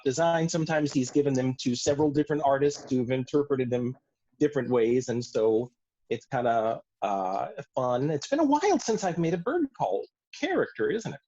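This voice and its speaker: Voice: medium pitch, animated, moderate pace, darkish tone. A tentative, self-effacing person chatting casually on an online group call, explaining a creative process. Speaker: puppet builder. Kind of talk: casual chat. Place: remote virtual call. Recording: dull lo-fi call audio.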